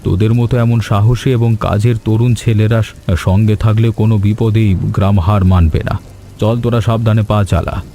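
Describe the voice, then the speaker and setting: A man playing a fatherly village elder in a cartoon story, grave and caring, with measured, earnest speech. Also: lowish voice, steady tone, warm tone, slight background noise, lightly acted. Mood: warm praise. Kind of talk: animated story dialogue.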